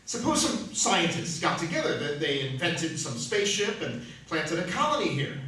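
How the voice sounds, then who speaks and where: An actor playing an eager, excitable junior spaceship crewman in a radio drama, hesitant as he offers theories. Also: on the high side, normal speed. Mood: eager, speculative. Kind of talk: old-time sci-fi radio drama acting. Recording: very echoey, distant, faintly noisy.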